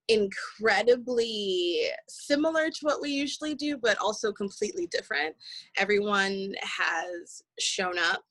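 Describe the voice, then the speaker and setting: A woman, a stage actor, talking casually in an interview, breaking her phrases with pauses. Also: medium pitch, fairly bright tone, no echo, low-quality recording.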